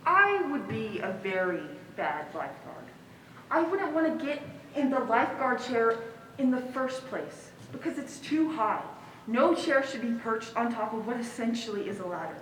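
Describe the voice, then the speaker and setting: A woman's voice: a little high, animated, normal speed, fairly bright tone. A woman on stage playing a blunt, unsympathetic young character delivering a complaining monologue. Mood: indignant. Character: blunt, unsympathetic young character, self-declared bad lifeguard. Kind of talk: stage monologue in a one-act play. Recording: echoey hall from far off, noise.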